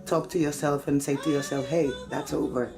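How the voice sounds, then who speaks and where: A woman raised in Spain who speaks English as a second language answers questions with a gravelly edge. She leans on repetition and "you know" to drive her points home, with soft music playing underneath. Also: low voice, fairly even intonation, fairly quick. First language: Spanish. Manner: emphatic, repeats phrases, frequent "you know". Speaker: interviewed singer. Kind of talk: interview answers.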